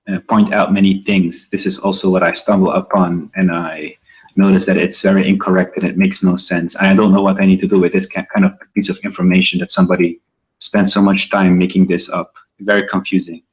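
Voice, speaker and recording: A man's voice: deep voice, little pitch movement, normal speed. A man from Morocco, a native Berber speaker, chatting casually and hesitantly as a caller on a dull, lo-fi line. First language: Berber (Amazigh). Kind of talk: casual conversation, hesitant answers.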